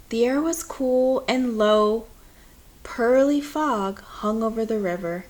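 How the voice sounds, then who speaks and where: Slow-paced woman reading a children's story. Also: on the high side. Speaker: picture book reader. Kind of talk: children's story read aloud.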